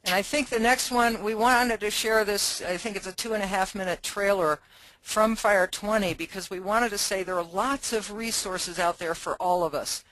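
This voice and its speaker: A man's voice: high-pitched, normal speed, a bit bright, no echo, poor audio. A man, a fire chief, presenting a webinar in a friendly, informal way with many fillers.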